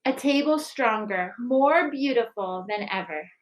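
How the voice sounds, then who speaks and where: Higher-voiced woman reading to children. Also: lively intonation, measured pace, rough recording. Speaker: school staff member reading aloud and presenting a recipe book project. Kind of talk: children's storybook read-aloud and school announcement.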